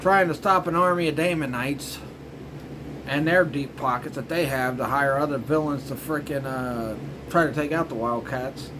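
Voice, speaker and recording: Higher-voiced man over noisy hum. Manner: informal, repetitive filler phrases like "you know" and "frickin'". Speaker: host of a cartoon review show. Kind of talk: casual rambling commentary.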